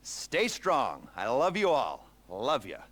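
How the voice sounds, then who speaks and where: An actor playing a smug, theatrical heist mastermind with a wry, measured delivery in a video game cutscene. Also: male voice, medium pitch, wildly swooping pitch, a bit bright, slight background noise, full character voice. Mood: wry, mock-tender.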